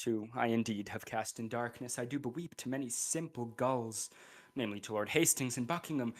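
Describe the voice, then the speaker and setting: A man's voice: medium pitch, fairly bright tone. A young male student actor playing a scheming, bitter royal brother in a Shakespearean radio play. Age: young adult.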